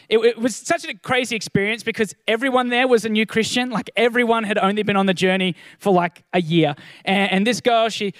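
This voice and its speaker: A man with a high voice preaches to his church in an earnest, encouraging way. He often doubles his first words as a thought begins. The recording is dry and close. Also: a bit bright, clean tone, dry recording. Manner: earnest, encouraging, repeats words when starting sentences. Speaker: pastor. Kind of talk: sermon.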